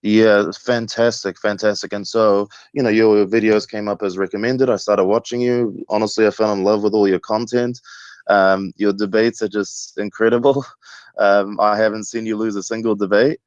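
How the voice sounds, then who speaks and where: A man's voice: fairly low pitch, steady tone, normal speed. A man in his thirties from Egypt, speaking conversationally as a caller telling his own views and experience. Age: 30s. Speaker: caller to the show. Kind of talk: conversational call-in testimony. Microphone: remote call connection. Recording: muffled, echoey call-in line.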